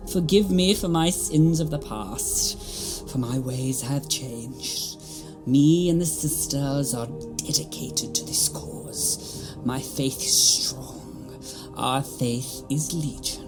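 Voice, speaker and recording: Stern, pious matriarch nun , acted by a woman. Low register, domineering delivery, sci-fi audio drama with music underneath. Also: slow pace, poor audio. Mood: pious, pleading. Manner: imperious, sanctimonious, commanding.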